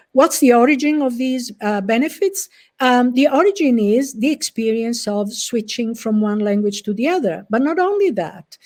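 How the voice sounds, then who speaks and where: A middle-aged woman, presenting research in a measured, explanatory way with frequent fillers and restarts. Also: a little high, a little mellow. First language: Italian. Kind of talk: recorded conference presentation.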